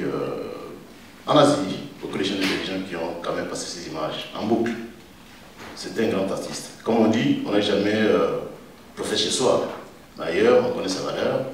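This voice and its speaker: Man, Congolese accent, deep pitch, hesitant tribute speech, distant mic, faint noise. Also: very echoey. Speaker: event speaker giving a tribute. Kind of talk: informal thank-you speech. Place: event hall with faint room noise.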